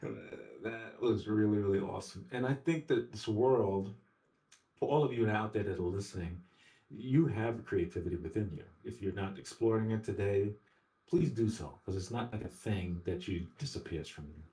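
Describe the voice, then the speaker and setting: Male podcast host from the Caribbean, deep warm voice, encouraging. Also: moderate pace. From the Caribbean islands. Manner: encouraging, addresses listeners directly. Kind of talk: casual interview conversation.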